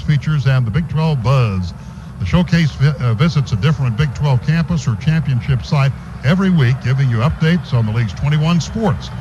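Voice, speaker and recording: A man's voice: on the high side, muffled recording, noisy background. A man calling football play-by-play and reading sponsor spots on a radio broadcast from a stadium booth. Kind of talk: live sports commentary with sponsor reads.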